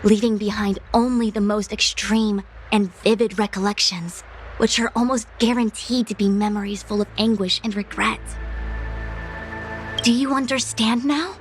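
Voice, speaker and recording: A woman voicing a proud, dutiful diviner for a video game, speaking in a formal, measured, articulate way. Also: medium pitch, fairly even intonation, close to an everyday voice. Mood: calm, explanatory. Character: proud, dutiful female diviner, youthful-sounding commander and lecturer. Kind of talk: English video game voice acting. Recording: music behind the voice.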